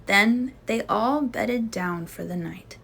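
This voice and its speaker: Slow, slightly husky woman storyteller. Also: mid-range voice. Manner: expressive, suspenseful storytelling. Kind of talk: children's storybook read-aloud.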